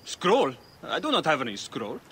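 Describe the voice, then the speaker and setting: An actor playing a whiny, excitable, indignant male prisoner in an ancient Egypt video game. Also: on the high side, normal speed, slight background noise, heavily acted. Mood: defensive. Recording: loud music behind.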